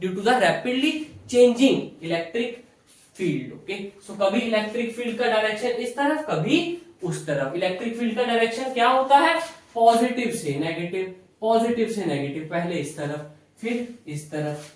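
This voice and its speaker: A woman's voice: medium pitch, animated, a little slow. A woman with an Indian accent lecturing in a didactic way, posing questions and answering them herself. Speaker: physiotherapy lecturer. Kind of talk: explanatory lecture mixing Hindi and English. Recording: echoey room, distant microphone.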